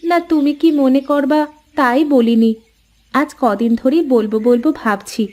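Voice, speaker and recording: A woman's voice: sits high, moderate pace, darkish tone, lightly acted. A woman voicing a sulky, nagging young village housewife in a cartoon, wheedling and complaining. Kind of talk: cartoon voice acting in Bengali family story.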